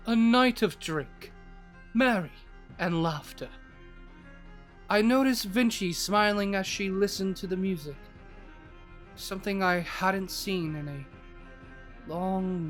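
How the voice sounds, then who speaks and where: A man plays a fantasy adventurer telling his own tale, fond and a little teasing. His voice sits fairly high for a man, with soft music underneath. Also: takes it slowly, long pauses. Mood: reflective. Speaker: narrator and character. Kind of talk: first-person fantasy audio drama narration.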